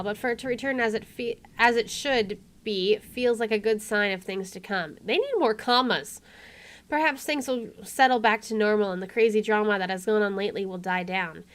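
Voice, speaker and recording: Casting: female let's-player, mid-pitched, narrating visual novel prose with wry, offhand asides. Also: smooth. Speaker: video game streamer. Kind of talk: reading game text aloud with casual commentary.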